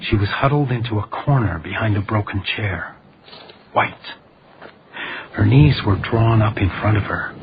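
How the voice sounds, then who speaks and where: An actor playing a wry, quietly gallant house detective who narrates a noir radio drama in a dry, understated way. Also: male voice, on the low side, moderate pace, pauses often, noisy background, lightly acted. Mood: somber narration. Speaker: lead character and narrator. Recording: dull lo-fi audio, music behind.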